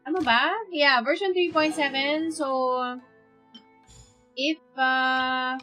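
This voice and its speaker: A woman with a Filipino accent, a native Tagalog speaker, chatting casually on a game livestream and thinking aloud with searching pauses. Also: high voice, sharp tone, faint music behind. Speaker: live game streamer. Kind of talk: casual livestream chat while gaming.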